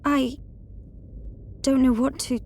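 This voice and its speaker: A woman's voice: high voice, steady tone, takes it slowly, warm tone, full character voice. A woman voicing an earnest young princess, formal and regal, in a video game cutscene. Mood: troubled, humble. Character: earnest, proud young princess weighed by doubt.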